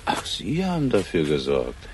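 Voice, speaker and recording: An actor playing a sceptical, brooding middle-aged police inspector, dry and musing, in a naturalistic German radio crime drama. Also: male voice, deep voice, wildly swooping pitch, moderate pace, noisy background. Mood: dry, curious.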